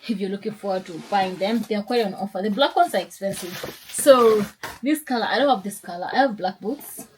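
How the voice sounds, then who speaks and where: A woman's voice: mid-range voice, fairly quick, a little hiss or hum. A young woman, chatting casually in a sit-down vlog, upbeat and rambling with restarts. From Kenya. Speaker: lifestyle vlogger.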